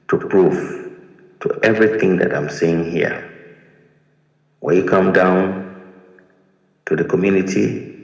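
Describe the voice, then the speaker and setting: Guy, deep voice, talks slow and earnest, echoey room with a long ring. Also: creaky, dull audio. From Liberia. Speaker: retired soldier telling his story. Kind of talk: personal testimony to camera.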